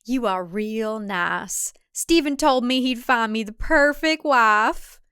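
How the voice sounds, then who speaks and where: A woman voicing a naive, eager country man in a thriller story, folksy and excitable. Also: on the high side, slow pace, clean tone, no room sound, studio quality. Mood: smitten. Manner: folksy, eager, easily shocked.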